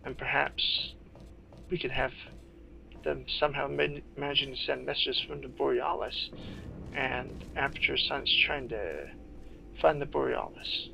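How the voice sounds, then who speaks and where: A man doing casual video game playthrough commentary, halting as he thinks aloud. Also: mid-range voice, steady tone, gravelly. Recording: noisy amateur game commentary recording.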